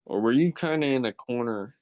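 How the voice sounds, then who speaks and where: A man's voice: mid-range voice, animated, fairly quick, dry recording, dull audio. A man asking casual questions as a podcast host. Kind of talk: casual interview.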